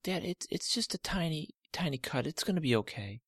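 A man voicing a small frightened young girl, with a high whiny childish voice. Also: animated, brisk, fairly bright tone, breathy edge, no room sound, studio quality, exaggerated voice. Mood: pleading.

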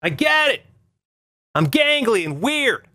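A young man with a reedy, nasal voice, telling comic stories as a show host in an animated, mock-outraged way. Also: very high-pitched, slow, no room sound, studio quality. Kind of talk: comic monologue.

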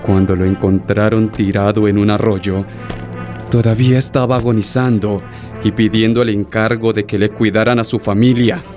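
Cold military avenger male actor, slightly low voice, commanding and deliberate, radio play with prominent music. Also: level tone, natural voice. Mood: bitter, vengeful. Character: vengeful, cold army colonel, short and paunchy. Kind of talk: audio drama acting.

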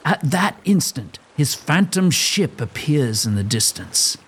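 Animated male storyteller with swooping pitch. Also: slow, very noisy. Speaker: narrator. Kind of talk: dramatic scripted audio drama narration.

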